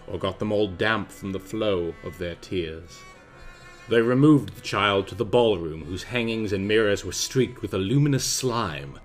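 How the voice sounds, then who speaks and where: A man gives a theatrical, precise, mock-grave dramatic reading of gothic rhyming verse. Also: on the low side. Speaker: narrator. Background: orchestral and jazz film soundtrack music.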